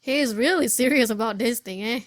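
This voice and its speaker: A woman giving casual commentary as she plays a game, with informal, halting asides. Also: on the high side, dry recording. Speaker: gamer commenting on a playthrough. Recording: game music playing underneath.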